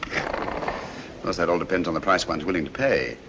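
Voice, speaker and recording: An actor playing a shrewd, composed detective in a 1940s film, speaking with a British accent in a measured, courteous way. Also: male voice, deep voice, highs cut off. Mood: cool, guarded. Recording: noisy old 1940s film soundtrack.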